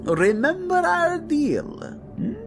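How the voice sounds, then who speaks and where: A man's voice: extremely high pitch, big pitch swings, music in the background, heavily acted. Sneering, cruel old king, voice swooping. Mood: gloating. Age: older. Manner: sneering, drawn-out words, sinister. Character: cruel, gloating old king scheming against his children. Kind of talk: audio drama acting.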